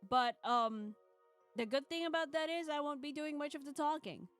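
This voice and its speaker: Woman streamer just chatting, kinda high voice, rambly and hesitant, music going behind her. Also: normal speed, a bit bright. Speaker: livestream host. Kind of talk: casual livestream chat.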